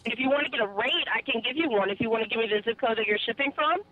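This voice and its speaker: A woman's voice: a little high, racing. A woman working as a shipping customer service agent, talking on a phone call. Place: on the other end of a phone call. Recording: muffled narrowband phone line.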